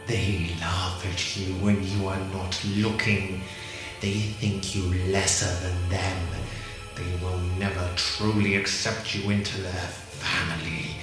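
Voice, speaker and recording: A man's voice: deep voice, flat delivery, slow. A man playing a cold, controlling otherworldly being in an audio drama, speaking in an ominous, commanding manner. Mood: sinister persuasion. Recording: very echoey, music underneath.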